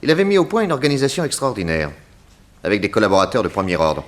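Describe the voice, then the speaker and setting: An actor playing a suave, confident detective with a wry, teasing manner in a 1960s French radio drama. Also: male voice, on the low side, huge pitch swings, fast. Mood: assured. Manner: wry, assured.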